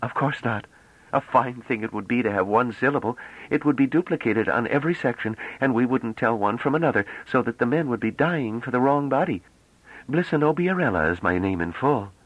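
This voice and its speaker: Male narrator as young woman, proud, coy, careful diction, lifted pitch, lively swoops, storybook emissary. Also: fairly quick, dry recording, lo-fi sound, lightly acted. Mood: chatty, playful. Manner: slightly hesitant, careful pronunciation, solemn and playful.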